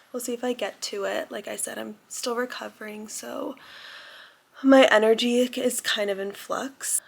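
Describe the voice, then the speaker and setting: Young woman, vlog host, husky cold-roughened voice, pitched a touch high, chatty filler-heavy delivery. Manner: chatty, says "like" often. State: recovering from a cold, a little raspy. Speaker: lifestyle vlogger. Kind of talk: casual chat to camera.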